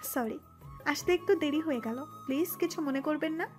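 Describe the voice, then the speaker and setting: A woman acts out a hot-headed, jealous young woman in a romantic audio story. Her voice is very high and confrontational, over faint background music. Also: moderate pace, a little mellow, close to an everyday voice. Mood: polite. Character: hot-tempered young woman, jealous, sharp-tongued fiancée.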